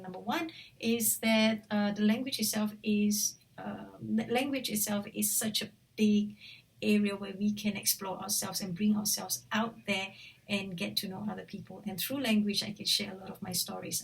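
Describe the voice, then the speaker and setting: Singaporean woman, language coach, chatty and loose with restarts, mid pitch, mic set a bit away. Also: creaky, distant mic, rough recording. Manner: chatty, with frequent fillers and restarts. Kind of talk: casual talk to camera.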